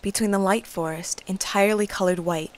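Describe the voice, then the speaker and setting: A woman's voice: medium pitch, fairly even intonation, a little fast, no room sound, close to an everyday voice. A woman playing an awkward, sarcastic girl in an audio drama, talking in a casual, wry way. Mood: wry narration. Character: awkward, sarcastic girl, reluctant dream apprentice. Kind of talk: audio drama acting and narration.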